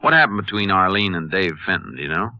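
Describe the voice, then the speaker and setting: A man playing a calm, laconic Texas Ranger in a vintage American radio drama, questioning in terse, measured sentences. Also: sits low, heavily acted. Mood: calm, businesslike. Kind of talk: 1950s radio drama acting and first-person narration. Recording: old radio drama recording.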